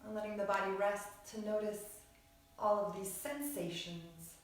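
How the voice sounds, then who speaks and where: A woman's voice: medium pitch, fairly even intonation. Echoey, distant woman guiding a relaxation. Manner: soothing, unhurried, short phrases with long pauses. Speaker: yoga nidra teacher. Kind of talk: guided relaxation meditation. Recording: very echoey room, distant mic, music behind. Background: music.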